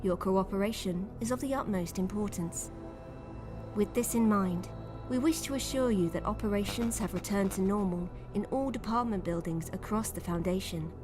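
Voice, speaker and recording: A woman's voice: mid-range voice, lightly acted. Formal corporate announcer played by a woman over music. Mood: coolly official. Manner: formal, measured, impersonal. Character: cold female corporate spokesperson making an official announcement. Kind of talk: scripted official announcement from an audio drama trailer.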